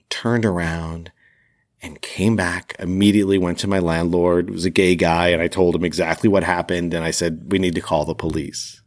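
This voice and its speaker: A man telling a personal story as a podcast host, reflective and earnest, leaning on key words. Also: lowish voice.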